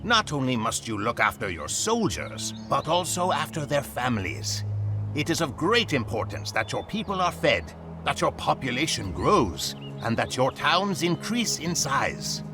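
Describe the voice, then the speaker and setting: An actor playing a solemn military mentor, speaking in a measured, formal, instructive way for a strategy game. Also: male voice, medium pitch, very animated, music in the background. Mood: stern, instructive. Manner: measured, instructive, formal, dramatic pauses before sayings. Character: solemn male military mentor quoting ancient Chinese strategy. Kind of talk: scripted game narration.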